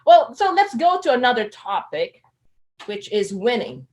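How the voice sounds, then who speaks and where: This is a woman hosting a live-streamed interview, and her voice sits a little high. She sounds effusive and excited. She cheers her guest on and talks directly to the people watching. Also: measured pace. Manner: enthusiastic, effusive. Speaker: interview host.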